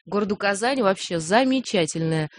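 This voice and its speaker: Woman, young adult radio presenter, loose on-air chat, quick laughs, halting speech. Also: mid-range voice, lively intonation. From Novosibirsk, Russia. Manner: laughs often, self-deprecating, halting with fillers.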